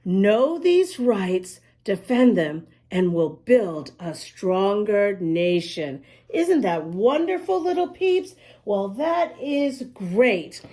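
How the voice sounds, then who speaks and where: A woman's voice: medium pitch, big pitch swings, takes it slowly, hardly any pauses, dark tone. A woman reading a storybook aloud to young children, explaining as she goes in an emphatic, sing-song manner. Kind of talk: expressive read-aloud to young children with asides.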